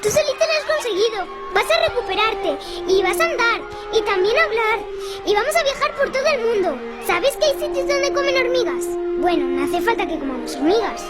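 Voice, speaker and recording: Bubbly, very high-voiced Castilian little girl. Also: big pitch swings, fairly quick. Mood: hopeful, thrilled. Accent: Castilian Spanish. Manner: playful, bubbly, talking in eager questions. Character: chatty, imaginative, kind-hearted little schoolgirl. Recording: loud music behind the voice.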